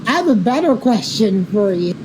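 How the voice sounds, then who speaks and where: A woman's voice: big pitch swings, moderate pace. A woman in casual gaming voice chat, bantering in a teasing, mildly sweary way. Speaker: player in a group bus-driving game. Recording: clearly noisy voice chat, vehicle rumble.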